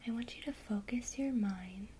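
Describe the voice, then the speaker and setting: A woman whispering softly and calmly through a guided ASMR lesson on casting a spell. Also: fairly high pitch, slight background noise. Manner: soft unvoiced whisper, slow and calm. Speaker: ASMR creator teaching witchcraft.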